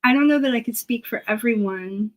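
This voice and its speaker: A woman's voice: on the high side, brisk. A woman speaking as an interview guest and historian, casual and reflective, earnestly searching for her words.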